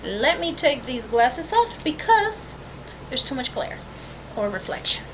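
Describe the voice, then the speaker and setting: A high-pitched woman giving a casual, rambling vlog introduction as host of an art channel, recorded on a webcam microphone. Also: very animated, unhurried, rough recording. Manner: rambling, informal asides and self-corrections. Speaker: host of a watercolor painting channel. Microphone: built-in webcam microphone. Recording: faint noise on a webcam mic.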